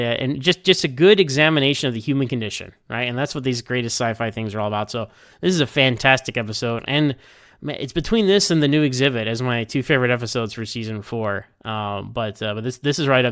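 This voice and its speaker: A man with a smooth, clear, mid-pitched voice talks through a casual review with real enthusiasm. He rambles and often stammers, repeating words as he goes. The recording is dry and close. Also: a bit bright, no echo. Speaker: listener sending in episode feedback. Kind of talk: casual spoken review of a TV episode.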